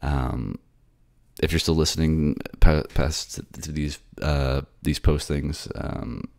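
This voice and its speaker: Extremely deep, gravelly male narrator. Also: steady tone, takes it slowly, a little mellow, no echo, clean studio sound. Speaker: voice actor and narrator. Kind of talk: scripted story narration and casual sign-off.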